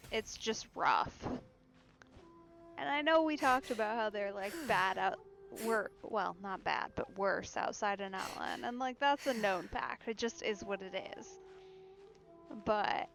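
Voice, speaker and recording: A woman's voice: medium pitch, fairly bright tone. A woman giving casual live gameplay commentary as a streamer, musing and thinking aloud. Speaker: game streamer.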